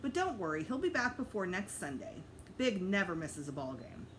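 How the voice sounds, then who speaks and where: A woman's voice: medium pitch, sing-song, normal speed, slight background noise, close to an everyday voice. A woman voicing a friendly, matter-of-fact grandmother in a children's book read-aloud. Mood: reassuring. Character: Mrs. Apfel, friendly matter-of-fact grandmother next door.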